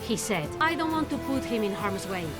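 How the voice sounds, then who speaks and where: A woman's voice: a little high, big pitch swings, brisk. A woman voicing a bold, witty young swordswoman hero in a video game. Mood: wry narrating. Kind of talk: animated video game acting. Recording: music behind the voice.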